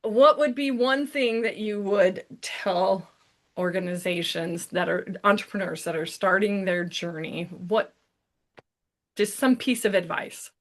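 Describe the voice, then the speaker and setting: Slow-talking upbeat woman host. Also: medium pitch. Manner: laughs often, upbeat. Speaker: podcast host. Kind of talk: casual interview chat.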